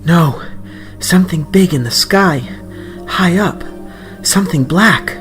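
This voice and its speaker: Man playing an anxious young boy, raised pitch. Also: slow, a bit bright, faint music behind. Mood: alarmed. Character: anxious, puzzled ten-year-old boy.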